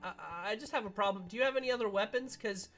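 Woman playing an excitable high-voiced cartoon fox. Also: rapid-fire, soft background music, natural voice. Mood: worried, reasoning. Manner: excitable, exclaiming, giggly. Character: excitable, panicky animatronic fox, playful and boastful. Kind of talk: improvised Minecraft roleplay.

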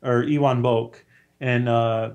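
Man explaining haltingly, medium pitch. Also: little pitch movement, darkish tone. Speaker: guest. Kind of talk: casual book recommendation chat. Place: small room at home, on a video call.

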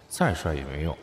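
A man's voice: rumbling low, full character voice. A man playing a crude, lecherous, easily panicked nineteen-year-old student in a comic audio drama, exclaiming and stammering melodramatically. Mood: flustered, indignant. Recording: roomy sound with music behind.

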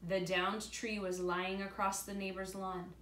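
A woman's voice: mid-range voice, level tone, a little slow, fairly bright tone. A middle-aged woman reading a picture book aloud and explaining it to young students as their teacher. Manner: explanatory, addressing young children. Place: indoor room at home, by glass door. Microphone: camera a few feet away. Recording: roomy echo, faint room noise.

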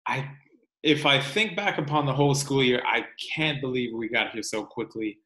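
A man in his thirties, a teacher reading a picture book aloud to children in measured, clear phrases at home. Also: mid-range voice, bright, forceful tone, low-quality recording. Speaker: teacher reading aloud to third-grade class. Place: room at home.

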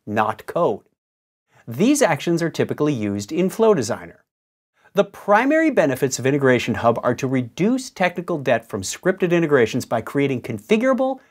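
A man in his fifties giving scripted software instruction in a clear, emphatic, didactic presenter's delivery. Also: a little high.

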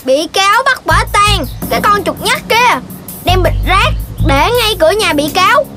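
Very high, excitable cartoon puppy. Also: moderate pace. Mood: indignant. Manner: excitable, childlike, exclamatory. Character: playful, mischievous, messy young cartoon dog. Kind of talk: children's cartoon dubbing. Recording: music behind the voice.

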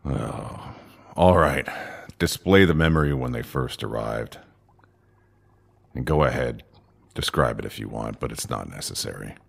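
A gruff, brooding man in command played by an actor in a sci-fi audio drama. His voice is extremely deep and full of gravel. He speaks curtly, muttering his orders. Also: measured pace, full character voice. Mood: brooding, irritable.

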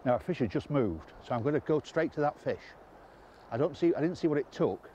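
An older man presenting a fly fishing lesson on a riverbank, explaining calmly with quiet enthusiasm, recorded on a clip-on mic. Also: fairly high pitch, a little fast, highs cut off, slight background noise. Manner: calm, explanatory, enthusiastic about the fish. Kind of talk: outdoor instructional presenting. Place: outdoors on a river bank.

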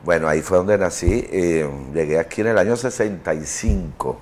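A man in his fifties with a somewhat low voice. He tells stories as a studio guest in a loose, rambling way, with frequent fillers and chuckles, picked up on a lapel mic. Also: normal speed, darkish tone, a little hiss or hum. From Italy. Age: 50s. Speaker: interview guest. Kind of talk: casual chat.

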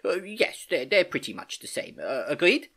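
Reader voicing drowsy, mischievous uncle, swooping pitch. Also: sing-song, moderate pace, creaky, a bit bright, husky, exaggerated voice. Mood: absent-minded. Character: drowsy, mischievous, kindly uncle teaching by demonstration.

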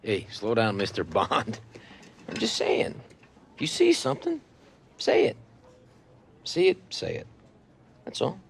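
A man playing a smooth-talking, scheming middle-aged football general manager in a cinematic game, with a chummy salesman's manner. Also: on the low side, huge pitch swings, slight background noise, heavily acted. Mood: conspiratorial. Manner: salesman-like, chummy, fast-shifting emphasis. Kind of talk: naturalistic cinematic game acting.

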